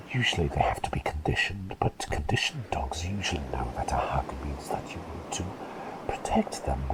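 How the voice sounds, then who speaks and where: A man's voice: extremely low pitch, gravelly, low-quality recording. A man whispering as an eccentric, chummy plague doctor in an ASMR roleplay, rambling and repeating phrases as he talks intimately to the listener.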